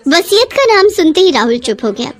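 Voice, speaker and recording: Female story narrator, high voice, very animated intonation, dramatic scripted read. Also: a little fast.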